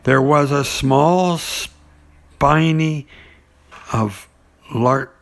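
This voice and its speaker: Slow-talking man reading a bedtime story. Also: a little high. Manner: unhurried, halting, with pauses and stumbles. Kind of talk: bedtime story reading with asides and character voices.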